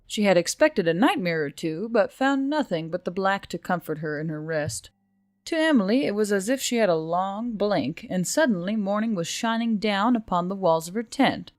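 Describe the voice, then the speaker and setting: A woman's voice: medium pitch, lively intonation, normal speed, no echo. A woman reading scripted fantasy fiction as an audiobook narrator.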